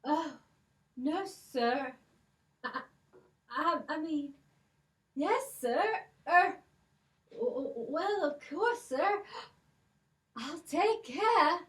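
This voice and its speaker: A woman's voice: high voice, lively intonation, extremely slow, long pauses, heavily acted. A woman voicing a plucky, clever young heroine with chirpy, lilting speech in a children's puppet show, heard through a distant mic. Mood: flustered.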